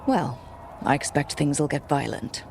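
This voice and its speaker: A woman's voice: low-pitched, normal speed, vocal fry, a little mellow, a little hiss or hum. A woman playing a determined fantasy team leader in a video game, matter-of-fact and decisive. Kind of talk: video game cutscene acting.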